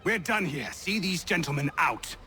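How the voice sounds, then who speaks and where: Haughty, swooping British general. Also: male voice, fairly high pitch, animated, moderate pace, pushed and bright. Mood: scornful. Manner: haughty, formal, contemptuous. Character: arrogant, hot-tempered British general, scornful and cruel. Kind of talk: dramatic video game acting. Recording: background music under the voice.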